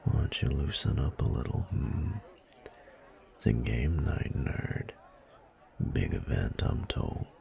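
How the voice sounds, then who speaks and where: Man acting a rumbling, gravelly, cocky bully. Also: lively intonation, a little mellow, exaggerated voice. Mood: teasing, predatory. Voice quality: very deep, gravelly, breathy murmur. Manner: slow, drawling, taunting. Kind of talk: scripted audio roleplay monologue.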